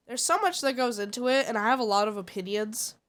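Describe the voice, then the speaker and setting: Woman streamer, slightly high voice, stuffy nose, frank and opinionated casual chat. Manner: blunt and opinionated. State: congested.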